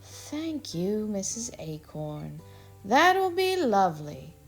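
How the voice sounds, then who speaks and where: Lady doing polite hazelnut mom visiting for coffee, husky voice. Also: mid-range voice, big pitch swings, slow, faint music behind, full character voice. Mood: gracious. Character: friendly hazelnut mother neighbor.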